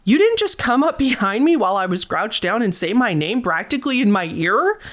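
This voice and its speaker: A woman's voice: on the high side, big pitch swings, brisk. Puzzled old bakery lady, read by a woman.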